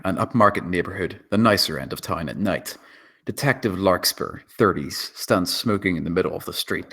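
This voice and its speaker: Deep-voiced man narrating a screenplay. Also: gravelly, a bit bright. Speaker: narrator reading stage directions. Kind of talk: scripted dramatic screenplay narration.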